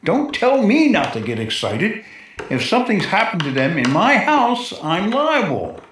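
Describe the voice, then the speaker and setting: An actor playing a nervous, excitable house owner with a stammering, agitated manner in a 1940s radio drama. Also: male voice, sits high, measured pace, slight background noise, full character voice. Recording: roomy 1940s radio recording, music behind.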